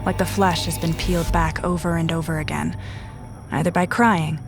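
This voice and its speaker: An actress playing a sharp-eyed, no-nonsense female detective in a noir audio drama, deadpan and sardonic. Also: lowish voice, moderate pace, natural voice. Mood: cool, probing. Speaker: detective and narrator. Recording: music behind the voice.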